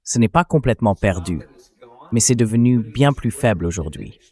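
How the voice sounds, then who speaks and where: A man's voice: on the low side, lively intonation, normal speed, a little mellow. A man's AI dubbing voice delivering blunt, indignant, sarcastic political commentary. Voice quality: synthetic AI dubbing voice.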